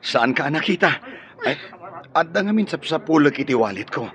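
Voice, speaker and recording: An actor playing an eager, flirtatious, easily smitten bachelor, hesitant and solicitous, with an Ilocano accent in radio drama style. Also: male voice, on the high side, lively intonation, normal speed, fairly bright tone. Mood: flustered, apologetic. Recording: faint noise and ambience.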